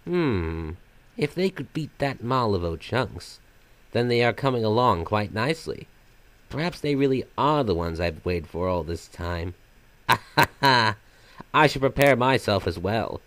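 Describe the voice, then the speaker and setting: A man's voice: on the low side, sing-song, measured pace, fairly bright tone, no room sound, heavily acted. A man voicing a flamboyant magical trickster villain from a video game, half silly and half sinister, with florid, mock-polite theatrical delivery. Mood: scheming. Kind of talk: fan voice-over of video game villain lines.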